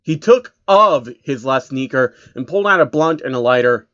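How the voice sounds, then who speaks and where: A man's voice: medium pitch, lively intonation, moderate pace, a bit bright. A young man reading fan fiction aloud in a casual, profane way, correcting his own slips and adding comedic asides. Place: home room. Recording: dull lo-fi home recording.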